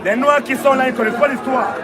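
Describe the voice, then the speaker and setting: A man's voice: extremely high pitch, fairly even intonation, quick pace, over a noisy crowd, very noisy. A man preaching outdoors in a city, declaiming in short repeated phrases that a partner answers. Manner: declamatory, repetitive, call-and-response. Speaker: street preacher. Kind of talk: open-air sermon.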